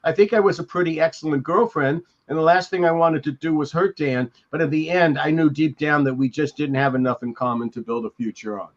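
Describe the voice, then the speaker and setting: Dull-sounding older American man, higher-pitched voice. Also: fairly even intonation. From Beverly Hills, California. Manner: halting, reflective, anecdotal. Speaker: podcast co-host. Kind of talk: discussing and reading from a memoir. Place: indoors at home, on a video call. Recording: dull lo-fi video call audio.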